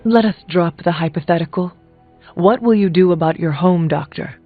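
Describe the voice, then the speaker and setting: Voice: medium pitch, moderate pace, narrow, tinny audio, close to an everyday voice. An actor playing a resolute, stoic young warrior in a video game, speaking in a formal, blunt, earnest way. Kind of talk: video game character dialogue. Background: music.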